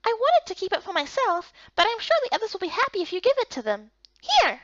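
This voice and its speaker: Sweet, eager young boy played by a woman, very high and lively, visual novel dub, slightly dull audio. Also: fast talker, no room sound, exaggerated voice. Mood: eager, cheerful. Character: excitable, sweet young boy with a lost pet.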